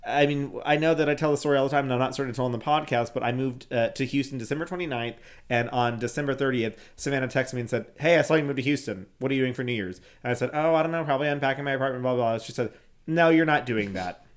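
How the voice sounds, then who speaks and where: A man's voice: mid-range voice, fast talker. Young man chatting candidly on a podcast. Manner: candid, laughing, swears casually. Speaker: podcast co-host. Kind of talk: casual chat.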